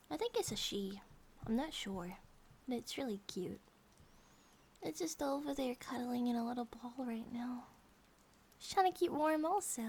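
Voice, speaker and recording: High-voiced, cutesy woman playing a bear-girl wife. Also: a little mellow, a little hiss or hum. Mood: delighted, cutesy. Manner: cutesy, rambling, giggly, sometimes dropping to a whisper. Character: affectionate, childlike, possessive grizzly bear monster-girl wife. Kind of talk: intimate ASMR roleplay to the listener.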